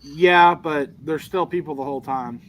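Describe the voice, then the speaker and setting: A man's voice: a little high, normal speed, crowd noise, poor audio. Venting, storytelling man. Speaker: stream panelist. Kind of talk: casual chat.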